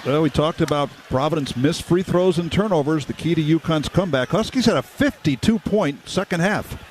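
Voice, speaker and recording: A man's voice: a little high, fairly quick, slight background noise. A man working as a color analyst on live sports radio, recapping stats and game situations.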